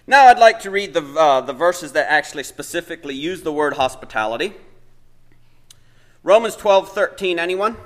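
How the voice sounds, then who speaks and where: Man, preacher. Slightly higher voice, loose conversational sermon with lots of ums, church setting. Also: expressive, normal speed. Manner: conversational, hesitant, thinks aloud with many fillers. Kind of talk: informal Bible teaching sermon.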